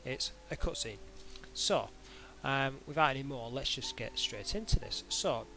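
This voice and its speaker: A man narrating an informal software tutorial in a hesitant, halting way, as if thinking aloud. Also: mid-range voice, lively intonation, vocal fry, faint music behind. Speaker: tutorial instructor.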